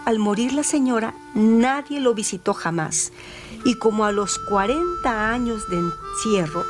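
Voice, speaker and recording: A woman reading a scripted crime story as a radio narrator, with deliberate, dramatic phrasing. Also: on the high side. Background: music.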